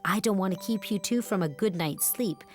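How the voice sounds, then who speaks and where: A woman voicing a kindly, easygoing grandmother in a children's storybook, in a gentle, reassuring manner. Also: mid-range voice, moderate pace, faint music behind, close to an everyday voice. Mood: warm, reassuring.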